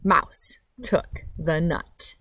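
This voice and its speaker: A woman's voice: on the low side, steady tone, very slow, sharp tone, breathy edge. A woman chatting and reading a picture book aloud to children in a simple, friendly storyteller's way. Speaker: read-aloud host. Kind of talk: children's storybook read-aloud with casual chat. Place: outdoors by a meadow.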